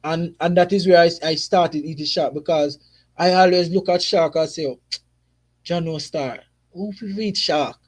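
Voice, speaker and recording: A man's voice: fairly high pitch, moderate pace. A young Jamaican man with a Jamaican accent, casually chatting about football in patois-flavored talk. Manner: patois-flavored, chatty, frequent "you see me". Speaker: football channel host.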